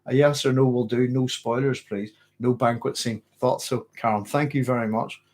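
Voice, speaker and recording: A man's voice: medium pitch, fairly even intonation, moderate pace, a little mellow. Rambling man hosting a late-night Q&A. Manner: rambling, self-correcting, conversational. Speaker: host of a science fiction channel. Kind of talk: late-night live Q&A, reading viewer comments.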